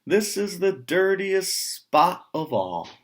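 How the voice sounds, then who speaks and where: An emphatic, bossy farmer's wife from a storybook, played by a man, pitched up a little. She is house-proud and declares her zeal for cleaning in slow, firm phrases. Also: big pitch swings, exaggerated voice. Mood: appalled.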